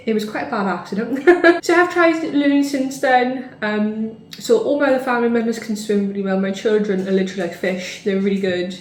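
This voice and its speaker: A woman's voice: medium pitch, reverberant. A woman in her thirties with a Welsh accent, chatting casually to camera at home in a rambling way with lots of fillers. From Wales. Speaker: vlogger.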